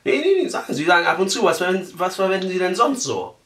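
A man's voice: high voice, sing-song, fast, fairly bright tone, roomy sound. A man in his twenties talking casually as a prank caller, brash and crude, with a mock-earnest colloquial way of speaking. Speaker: prank call host. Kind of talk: casual prank phone call. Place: small living room, indoors.

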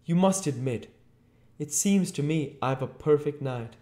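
Man acting a high, whining, frightened tramp. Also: animated, a little slow, warm tone. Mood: miserable pleading. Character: fretful, whining, frightened tramp near tears. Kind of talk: audiobook character voice. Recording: echoey room.

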